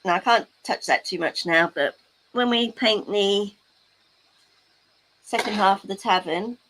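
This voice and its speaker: A woman's voice: medium pitch, unhurried, a bit bright, slight background noise, poor audio. A woman hosting a casual crafting livestream, chatting playfully and teasing her viewers as she works. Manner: playful, teasing asides to chat. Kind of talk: casual chat while crafting, reading out chat.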